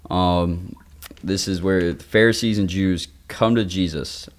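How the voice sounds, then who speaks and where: A man's voice: low-pitched, fairly even intonation, unhurried. A man in his twenties talking casually about faith as a podcast speaker, explaining in an informal, youthful way with everyday examples. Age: 20s. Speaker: young adult ministry podcast speaker. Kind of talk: casual faith discussion with teaching.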